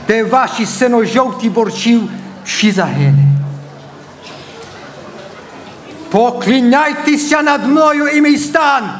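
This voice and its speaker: Guy doing a melodramatic dictator in a comic stage monologue, slow n swooping all over. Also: high-pitched, a bit bright, exaggerated voice. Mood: menacing, boastful. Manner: pompous, melodramatic declamation. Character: pompous, menacing, whining Russian dictator in satirical monologue. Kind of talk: theatrical satirical stage monologue in verse.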